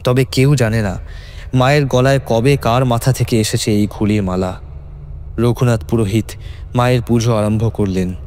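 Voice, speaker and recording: A man's voice: mid-range voice, fairly even intonation, normal speed, a little mellow, a little hiss or hum. A man reading a scripted horror story as a dramatic narrator. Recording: music under the voice. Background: background music.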